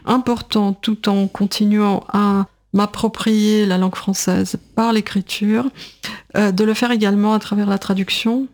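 A woman's voice: medium pitch, fairly even intonation, unhurried, a little mellow. A woman in her sixties, a writer and translator, speaks as a guest in a radio interview, thinking aloud with many fillers and restarts. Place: radio studio.

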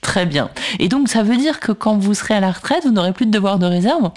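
Radio host, halting delivery, lots of fillers, studio interview. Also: mid-range voice, expressive. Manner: halting, many fillers and restarts.

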